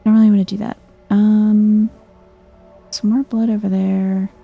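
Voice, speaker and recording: Chill soft spoken gamer lady, kinda slow and creaky, asmr playthrough with music in the back. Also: medium pitch, little pitch movement, phone-quality sound. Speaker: gaming video host.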